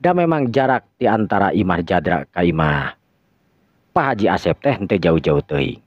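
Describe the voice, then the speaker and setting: Deep-voiced Sundanese male storyteller. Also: animated, moderate pace. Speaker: storyteller, narrator. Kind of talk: Sundanese radio drama narration. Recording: dull lo-fi recording with echo.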